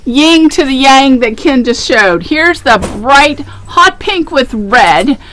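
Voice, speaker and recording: A woman walks viewers through fabric lines with easy, chatty enthusiasm. Her voice sits a little high, and laughter comes readily. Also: expressive, slow pace, a bit bright, a little hiss or hum, poor audio. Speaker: fabric shop co-host. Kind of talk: casual live product showcase.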